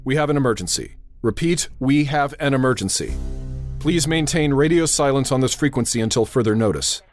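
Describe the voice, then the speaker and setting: An actor playing a brisk, steady airport tower controller under pressure, in a radio drama style. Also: male voice, medium pitch, soft background music, natural voice. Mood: urgent, commanding.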